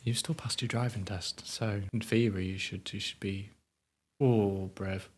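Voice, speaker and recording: A man with a low, husky voice co-hosts a casual podcast chat. He explains things calmly and drops in dry jokes. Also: unhurried. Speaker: podcast co-host. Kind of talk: casual chat while tasting hot sauce.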